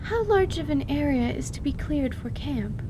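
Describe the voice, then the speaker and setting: A woman puts on a shy young female mage for a radio play. The voice is lifted and tentative, with formal, polite wording, over a clearly noisy, rumbling recording. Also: expressive, normal speed, reverberant. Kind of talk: audio drama acting.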